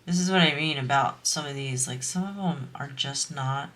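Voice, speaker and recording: A woman's voice: a bass voice, normal speed, fairly bright tone, slight background noise. A woman from the US East Coast with a nasal voice, chatting in a rambling way while she works on a craft project for her vlog. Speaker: crafting vlogger. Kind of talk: casual chat while diamond painting.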